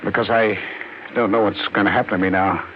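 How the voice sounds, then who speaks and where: A man's voice: lowish voice, steady tone, brisk, close to an everyday voice. An American man acting a cornered, wary husband on the run in a 1940s radio drama, in terse, colloquial lines. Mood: urgent, desperate. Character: cornered, desperate fugitive husband, wary and hard-boiled. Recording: muffled, noisy old radio recording.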